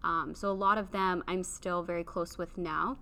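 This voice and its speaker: A woman's voice: fairly low pitch, steady tone, normal speed, sharp tone. A young woman from Canada, a podcast guest, talking openly and reflectively in a casual interview, laughing easily.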